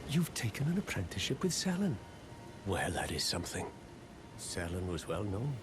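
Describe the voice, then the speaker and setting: Meek apprentice sorcerer, swooping pitch. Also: male voice, big pitch swings, normal speed, background noise. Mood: admiring, earnest. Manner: apologetic, self-deprecating, hesitant. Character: hapless, humble apprentice sorcerer, meek and wistful. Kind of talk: video game character dialogue.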